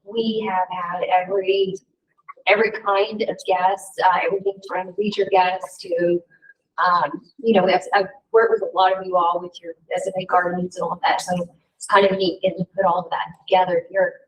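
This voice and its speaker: Lower-voiced woman, slightly hoarse, far off. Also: little pitch movement, normal speed, slight background noise. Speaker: hotel manager. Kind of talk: informal update. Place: meeting room. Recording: distant room mic at a meeting.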